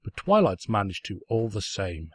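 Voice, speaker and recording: Guy narrating a fanfic, kinda low voice, snooty sarky storyteller, dry sound. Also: sing-song, normal speed, dry recording. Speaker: narrator, fan fiction reader.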